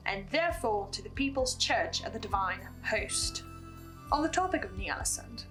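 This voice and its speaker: Woman doing scripted recap narration, kinda high voice, earnest, music in the back. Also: fairly bright tone, rough recording. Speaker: host of a fan analysis video. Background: music bed.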